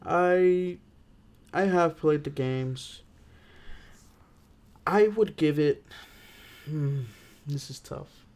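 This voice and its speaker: A man's voice: on the high side, very slow, long pauses, a little mellow. A man co-hosting a film review podcast in casual, informal conversation. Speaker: podcast co-host reviewing films. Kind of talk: casual chat.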